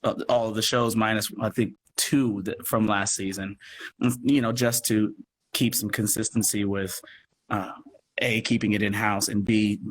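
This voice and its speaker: A man chatting casually as an interview guest, expressive and thinking aloud as he explains his work. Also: lowish voice, unhurried, a little hiss or hum, rough recording. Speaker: radio play producer and guest. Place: remote live video chat.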